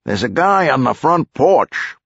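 Obliging helicopter pilot, gravelly, male narrator acting. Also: mid-range voice, very animated, a little slow, fairly bright tone, dry recording, dull audio, voice pushed up, full character voice. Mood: matter-of-fact. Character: helpful, matter-of-fact male helicopter pilot.

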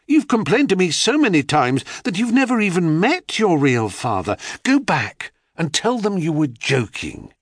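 A man voicing a worried, loyal young friend who pleads gently. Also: a little high, very animated, normal speed, few pauses, no echo, studio quality, pitched above the performer's own voice. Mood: pleading.

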